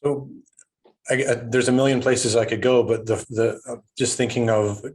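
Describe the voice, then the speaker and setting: Flat-voiced man, halting questions. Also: mid-range voice. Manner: halting, restarting phrases. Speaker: webinar host. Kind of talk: interview questions.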